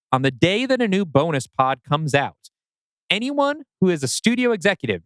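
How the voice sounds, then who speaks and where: Lively, smooth-voiced male podcast host. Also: a little high, dry recording, poor audio. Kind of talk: casual explaining to listeners.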